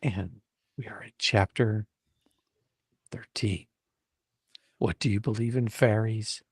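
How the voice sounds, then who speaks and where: A man's voice: lowish voice, fairly even intonation, slow, breathy edge, no room sound, clean studio sound. A man giving a dramatic, hammy, comedic live reading of an adventure storybook. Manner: hammy, ad-libs folksy drawling turns of phrase. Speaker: storyteller reading a book aloud to a live audience.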